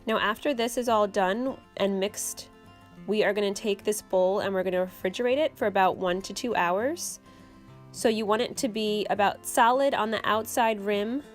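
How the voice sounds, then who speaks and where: A young woman hosting her own channel, explaining a homemade recipe step by step in a relaxed, casual way from her kitchen. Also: mid-range voice. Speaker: channel host. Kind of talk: casual how-to vlog. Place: home kitchen.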